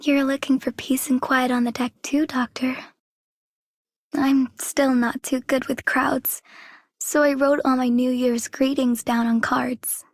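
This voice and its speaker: A woman playing a shy, reserved, gentle young woman in a scripted game voice line. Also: high-pitched, level tone, a little slow, no echo. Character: shy, quiet young woman who avoids crowds. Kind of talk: scripted game character greeting.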